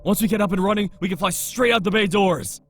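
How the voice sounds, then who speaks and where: Brash, cocky motorhead. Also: male voice, high voice, fast talker. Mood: excited, cocky. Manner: brash, excitable, swearing. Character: cocky, reckless, hyped-up motorhead man. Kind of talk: visual novel game voice acting.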